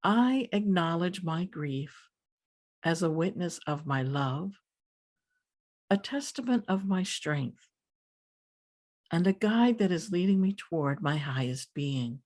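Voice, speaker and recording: A woman leading a guided meditation as a teacher, speaking calmly in deliberate phrases with pauses. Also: on the low side.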